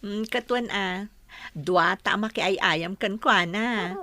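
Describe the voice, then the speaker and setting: A woman's voice: medium pitch, slow, pushed and bright, no echo. A Filipino woman with an Ilocano accent playing a doting woman cooing playfully to a baby in a radio drama.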